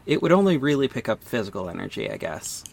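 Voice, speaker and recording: A man's voice: medium pitch, big pitch swings, fast, creaky, slightly rough, exaggerated voice. A man playing an earnest young vigilante private investigator in an audio drama. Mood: casual, wry. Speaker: narrator and lead actor. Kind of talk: first-person audio drama narration and dialogue.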